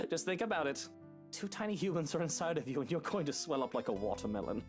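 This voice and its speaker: Wry, worrying young husband, lively pitch. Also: male voice, a little fast, over music. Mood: reassuring, wry. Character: wry, teasing young husband fretting over fatherhood. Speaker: voice actor in a visual novel game. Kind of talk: video game dialogue acting.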